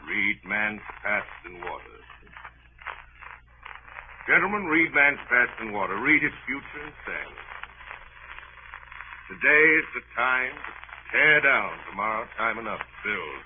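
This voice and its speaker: A scratchy, narrow old radio recording carries an imposing missionary with a rather low voice. He orates pompously, as if every remark were scripture, in the theatrical manner of 1930s radio drama. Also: big pitch swings, unhurried, long pauses. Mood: preaching, forceful. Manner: pompous, sermonizing, grandiloquent. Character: imposing, sinister male missionary with a huge appetite.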